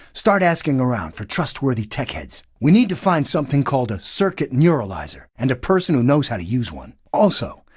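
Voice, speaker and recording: Husky, urgent underground agent. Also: male voice, medium pitch, darkish tone, dry recording, studio quality. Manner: urgent, businesslike. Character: urgent, secretive male underground railroad agent. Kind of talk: video game audio log acting.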